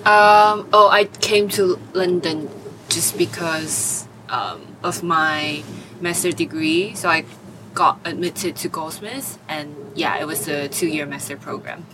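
A woman's voice: deep voice, slow, background noise. A woman in her twenties from Taiwan, answering interview questions hesitantly with many fillers. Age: 20s. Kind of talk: oral history interview.

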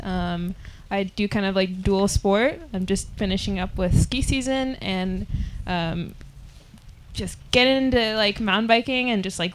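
Woman from the Pacific Northwest, enthusiastic and bubbly, faint background noise. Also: medium pitch. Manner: bubbly, enthusiastic, lots of likes. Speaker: athlete, panelist.

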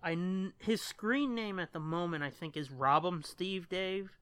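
A man's voice: high voice, a little slow, a bit bright, smooth, no room sound. A man co-hosting a podcast in casual, rambling and casually profane chat. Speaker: podcast co-host.

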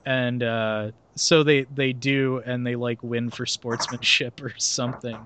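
A man's voice: mid-range voice, little pitch movement, a little slow, fairly bright tone, a little hiss or hum. A young man reads a film recap aloud for a casual podcast. His tone is wry and loose, and he keeps breaking into laughter and dry remarks about the plot. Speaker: podcast host reading a film synopsis. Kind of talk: casual comedic podcast chat.

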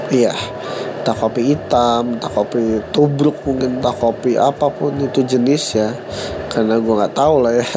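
A man's voice: medium pitch, background noise. A young man with a stuffy nose from a cold and a Jakarta slang accent, hosting a casual solo podcast and joking at his own expense. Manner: joking, self-mocking, laughs at own jokes. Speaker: podcast host.

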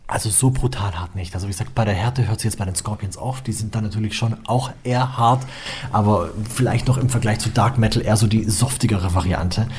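A man's voice: lowish voice, a little fast, darkish tone, a little hiss or hum. A young man, a musician, talking casually and at length as an interview guest, with lots of filler phrases. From Germany. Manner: chatty, rambling, with filler phrases. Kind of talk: relaxed conversational interview.

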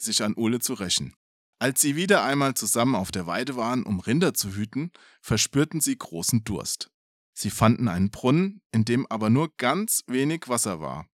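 A man's voice: medium pitch, dry recording, studio quality. A man reading a folk tale aloud expressively as a podcast storyteller, with casual asides.

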